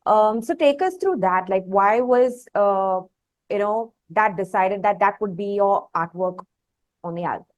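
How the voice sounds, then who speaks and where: A woman with an Indian accent hosting a conversational music interview, phrasing her questions in a hesitant, pausing way. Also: mid-range voice. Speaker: interview host.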